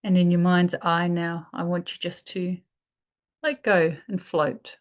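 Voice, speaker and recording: Low-pitched woman guiding a meditation. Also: level tone. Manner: soothing, unhurried instruction with pauses. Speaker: meditation guide. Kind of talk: guided meditation.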